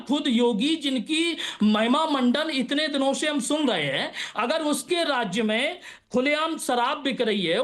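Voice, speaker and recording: A man's voice: very high-pitched, fairly bright tone. A religious man on a TV debate panel, insistent and interrupting, pressing his questions. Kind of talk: heated TV debate.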